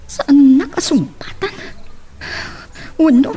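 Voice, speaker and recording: A woman's voice: high voice, animated, slow, mellow. A woman acting a bitter, aggrieved abandoned lover in an Ilocano audio drama. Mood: bitter, anxious. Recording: clear background noise and ambience.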